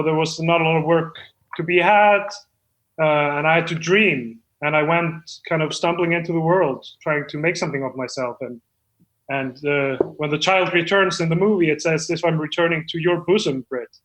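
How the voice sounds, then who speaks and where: High-voiced, stammering would-be screenwriter played by a man. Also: a bit bright, close to an everyday voice. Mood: wistful. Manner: halting, stammering, earnest. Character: eccentric amateur screenwriter from Hungary, earnest and self-important. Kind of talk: improvised comedy table read. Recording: roomy, echoey room sound.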